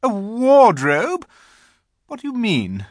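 A man voicing an imperious, menacing witch queen in an audiobook, haughty and commanding, with drawn-out emphatic words and a streak of false sweetness. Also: fairly high pitch, wildly swooping pitch, sharp tone, dry recording, studio quality, pitched above the performer's own voice, heavily acted. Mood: haughty, angry. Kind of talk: audiobook character voice.

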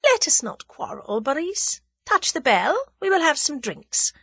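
A woman's voice: sits high, very animated, a little slow, fairly bright tone, no room sound, pitched above the performer's own voice, full character voice. A woman voicing a scornful, worldly female schemer in an audiobook, with a haughty, airy, taunting delivery and cool amusement. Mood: light amusement. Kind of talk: dramatised audiobook character dialogue.

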